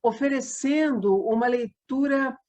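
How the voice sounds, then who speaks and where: A woman's voice: sits high, measured pace, darkish tone, highs cut off. A Brazilian woman, a theatre company member, explaining her work in a conversational interview.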